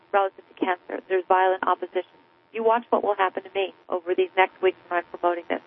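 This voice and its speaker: Crusading woman on a tinny phone line. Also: medium pitch. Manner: emphatic, persuasive, crusading. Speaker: author and guest. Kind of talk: impassioned radio interview. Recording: narrow phone-like radio line.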